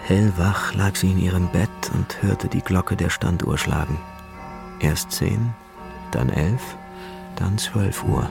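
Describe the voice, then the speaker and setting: An actor as the narrator of a radio drama, telling a suspense tale in a measured, literary way. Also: male voice, low-pitched, steady tone, vocal fry, music in the background, full character voice. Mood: measured, suspenseful. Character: male storyteller of a suspense tale.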